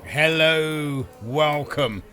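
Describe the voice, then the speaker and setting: A man's voice: on the high side, very slow, over music. An actor playing a brusque older English village man in an audio drama. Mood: jovial. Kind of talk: scripted audio drama dialogue.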